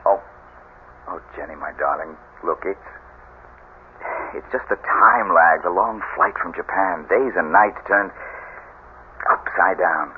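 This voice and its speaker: Deep-voiced, coaxing husband. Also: male voice, slow pace, pauses often. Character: protective husband, coaxing, quick to flare up. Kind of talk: 1970s radio drama acting. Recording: tinny, noisy old radio recording.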